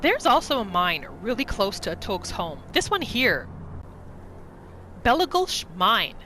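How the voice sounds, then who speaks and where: A woman's voice: mid-range voice, big pitch swings, a little slow, bright, forceful tone, slight background noise. A woman hosting a gaming video, narrating her roleplay playthrough in a casual, chatty storytelling way. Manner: chatty, informal, storytelling in third person.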